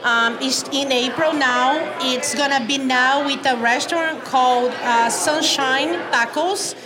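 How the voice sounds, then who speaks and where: A woman's voice: sits high, measured pace, background noise. A woman with a Brazilian accent, a native Portuguese speaker, explaining community programs conversationally as an interview guest. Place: video call.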